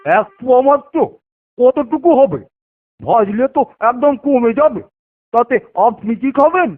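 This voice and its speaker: A man plays a nosy village shopkeeper from a cartoon. He sounds skeptical and questioning in a very high voice. The audio is thin, like a phone line. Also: animated, unhurried, exaggerated voice. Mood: doubtful. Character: nosy village fish shopkeeper, doubtful and chatty. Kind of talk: cartoon voice acting.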